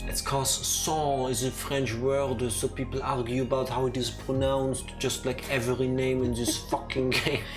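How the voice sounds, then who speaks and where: Very low-voiced woman on a game stream. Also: little pitch movement, unhurried, few pauses, over music. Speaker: streamer, reads game narration. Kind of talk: casual let's play chat and narration.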